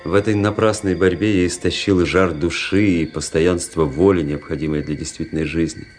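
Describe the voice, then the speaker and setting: An actor playing a weary, cynical young army officer who narrates a radio drama in a measured, introspective way. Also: male voice, low-pitched, steady tone, lightly acted. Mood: brooding, weary. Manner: introspective, world-weary, measured literary delivery. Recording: music under the voice.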